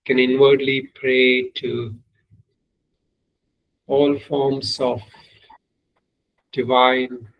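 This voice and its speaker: A man leading a guided meditation as a teacher, giving measured, unhurried instructions with long pauses. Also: medium pitch, gravelly, low-quality recording.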